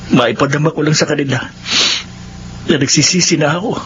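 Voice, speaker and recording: Actor as a high-voiced, remorseful younger brother. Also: male voice, brisk, muffled recording, background noise, lightly acted. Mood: meek, polite. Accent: Filipino. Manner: earnest, pleading, polite. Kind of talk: Filipino radio drama acting.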